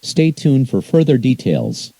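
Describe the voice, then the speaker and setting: A man's voice: medium pitch, wildly swooping pitch, measured pace, gravelly, warm tone, dry recording. A man reading scripted emergency alerts as an announcer, in a formal, impersonal, synthetic-sounding delivery.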